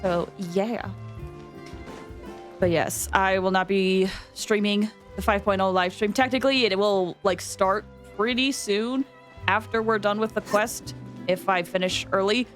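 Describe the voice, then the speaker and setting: A woman's voice: mid-range voice, fairly even intonation, measured pace, faint music behind. A woman hosting a game livestream, chatting casually and informally with viewers, often drifting into asides.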